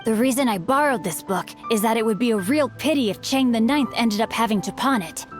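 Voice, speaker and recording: A woman's voice: fairly high pitch, fairly quick, faint music behind, natural voice. An actress playing an earnest, courteous teenage boy swordsman with formal, florid, theatrical speech in an anime game.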